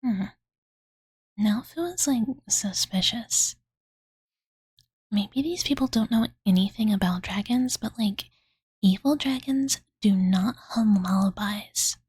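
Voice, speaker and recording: A woman voicing a cute, devoted young dragon girl who softly tells her master a bedtime story in a cozy ASMR roleplay, with cutesy, filler-heavy speech. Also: mid-range voice, animated, slow, no echo, full character voice. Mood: cozy, musing. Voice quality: soft breathy whisper. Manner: valley-girl filler-laden, soothing, cutesy third-person speech. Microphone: close-up studio condenser microphone, dry.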